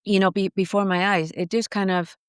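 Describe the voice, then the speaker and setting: A woman's voice: mid-range voice, steady tone, brisk, smooth, no room sound, clean studio sound. A woman from Iceland whose first language is Icelandic, a guest author explaining ideas in a reflective, thoughtful way during a podcast interview.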